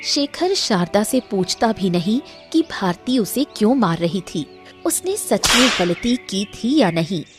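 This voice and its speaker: A woman's voice: mid-range voice, very animated, a little slow, soft background music. A woman reading scripted narration as the storyteller of a moral tale.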